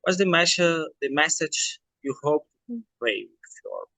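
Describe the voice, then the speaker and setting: A man interviewing an author, speaking slowly and earnestly and addressing the viewers directly. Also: a little high, sing-song, creaky, sharp tone, dry recording, low-quality recording. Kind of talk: interview questions.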